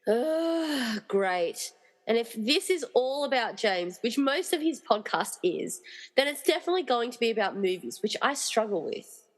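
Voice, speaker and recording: A woman's voice: on the high side, sing-song, moderate pace. A woman playing a reluctant, sarcastic heroine in an amateur comedy radio play, grumbling through her lines. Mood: exasperated. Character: reluctant, exasperated heroine rescuing friends trapped in movies. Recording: reverberant hall-like echo.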